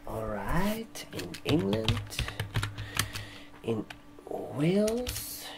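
A man in his twenties doing a soft-spoken ASMR barbershop roleplay, speaking haltingly in short phrases. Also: sits high, big pitch swings, gravelly, very noisy. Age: 20s. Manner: slow, halting, hushed delivery with short phrases. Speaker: ASMR performer playing a barber receptionist. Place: indoor room, seated at a desk. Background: computer keyboard typing and clicks.

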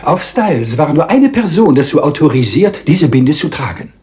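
An actor playing a small, self-assured Belgian detective with a French accent, theatrical and politely lecturing in a radio crime drama. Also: male voice, a little high, sing-song, mellow. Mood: explaining, confident. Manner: theatrical, deliberate, polite, lecturing, teasing rhetorical questions.

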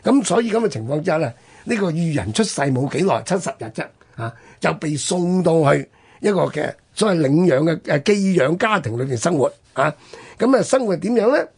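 A man's voice: on the high side, very animated, a little fast, husky. A man with a Hong Kong Cantonese accent telling history conversationally as a radio host, explaining with rhetorical questions and interjections.

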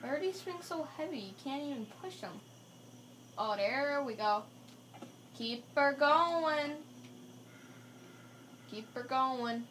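Woman, fairly high, slow, casual commentary, music in room. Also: expressive, fairly bright tone, a little hiss or hum, rough recording. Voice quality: chatty, joking asides to herself. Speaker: gamer. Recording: game music playing in the room. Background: game music.

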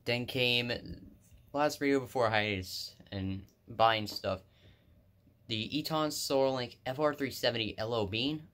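A man's voice: mid-range voice, measured pace, a bit bright. Casual young man listing his gadgets. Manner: offhand, listing items with fillers and self-corrections. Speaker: hobbyist vlogger. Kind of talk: casual show-and-tell narration. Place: indoors, room with carpeted floor.

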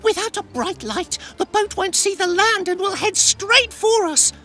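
A woman's voice: very high-pitched, animated, measured pace, pushed and bright, soft background music, heavily acted. A woman voicing an anxious, flustered little sailboat in a children's cartoon, with a fretful, pleading way of talking. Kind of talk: animated children's cartoon acting.

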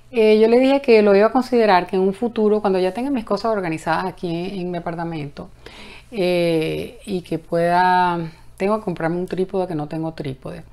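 A woman's voice: mid-range voice, mellow. A woman in her fifties giving a casual mantra lesson, talking into her phone camera in her apartment bedroom. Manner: talkative, frequent self-restarts, slow chanting of mantra. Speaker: mantra teacher. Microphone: phone camera at close range.

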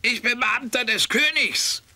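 A man's voice: very high voice, a little slow, bright, forceful tone, slight background noise, heavily acted. A man playing an officious, falsely friendly royal tax official in a children's audio play. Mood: officious, slyly friendly.

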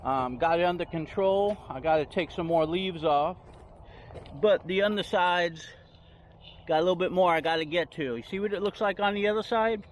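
A man's voice: sits high, slight background noise. A man giving a casual, rambling tour of his backyard garden, recorded outdoors on a handheld phone. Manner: rambling, unhurried, points things out as he walks.

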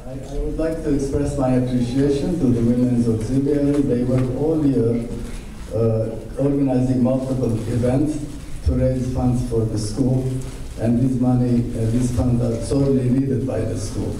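A man's voice: mid-range voice, steady tone, dark tone. An older man as emcee giving formal banquet remarks at a podium, reading with small stumbles, recorded from across a large hall. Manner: measured, reads from a page with small stumbles. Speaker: event emcee. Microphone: podium microphone heard from a far camera. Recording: echoey hall, far-off mic, room noise.

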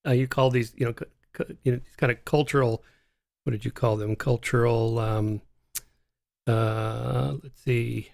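A man's voice: mid-range voice, fairly even intonation, creaky, a little mellow. Man in his sixties hosting an interview. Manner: casual, halting, frequent restarts. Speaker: podcast host. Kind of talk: interview conversation. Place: home studio, indoors. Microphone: large studio mic on a stand.

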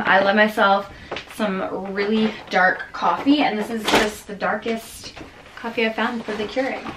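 A woman's voice: on the high side, a bit bright, a little hiss or hum. A woman in her thirties chatting casually to camera in her home kitchen, rambling with many restarts and fillers, sounding tired. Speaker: vlogger. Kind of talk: casual grocery haul chat. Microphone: camera on a counter at close range.

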